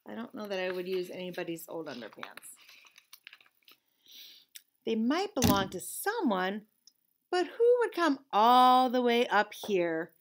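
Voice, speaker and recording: A woman's voice: mid-range voice, big pitch swings, takes it slowly, long pauses, slight background noise. A middle-aged woman teacher reading a picture book aloud to children expressively, with conversational asides. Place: classroom, indoors.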